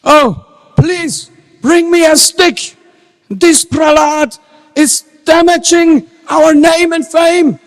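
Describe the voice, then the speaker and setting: A man playing a stern, easily outraged religious schoolteacher in a deliberate, theatrical stage reading. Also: very high voice. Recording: very reverberant hall.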